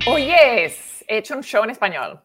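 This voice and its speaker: A woman's voice: a little high, big pitch swings, a little slow, fairly bright tone, soft background music. A woman, co-hosting a casual comedy podcast and telling stories in a chatty way. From Spain. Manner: chatty storyteller, acts out quoted voices. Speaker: comedian and co-host.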